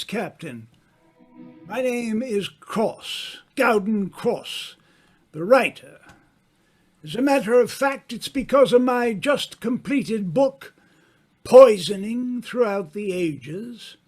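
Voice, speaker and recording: A man playing a suave, knowing mystery writer in an old-time radio drama, speaking deliberately with dramatic pauses. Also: high-pitched, heavily acted. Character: suave, theatrical male mystery writer, a knowing dinner guest.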